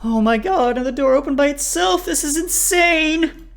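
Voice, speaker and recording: Casting: hysterical husky man, high-pitched and loud, melodramatic, frantic storybook character. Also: normal speed. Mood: terrified babbling. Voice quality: shrill. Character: panicked husky man, babbling and shrieking in terror.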